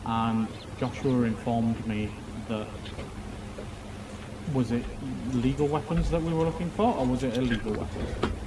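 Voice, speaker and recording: A man in improvised online roleplay, giving testimony in a measured, wordy, hesitant way. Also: mid-range voice, heavy background noise. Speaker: streamer roleplaying a court witness.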